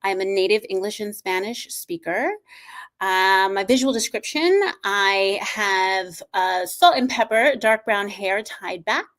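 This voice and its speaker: A woman's voice: mid-range voice, unhurried, a bit bright. A woman who grew up between the United States and Ecuador, introducing herself politely and in an orderly way as a panel guest. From New York City and Guayaquil, Ecuador. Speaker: panel guest, writer and educator. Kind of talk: spoken self-introduction on a panel. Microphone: video call.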